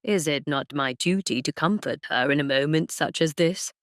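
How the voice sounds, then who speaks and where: A woman's voice: low voice, normal speed, dry recording, clean studio sound, voice pushed down, close to an everyday voice. A woman voicing a teasing, smug male duke in an audiobook romance, formal and playfully indignant. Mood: mock innocent. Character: teasing, smug duke, a man feigning innocence.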